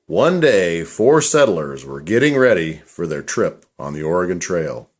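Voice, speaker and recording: Low-voiced male storyteller with swooping intonation. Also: sing-song, measured pace. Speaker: narrator. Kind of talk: scripted storybook narration for a puppet show.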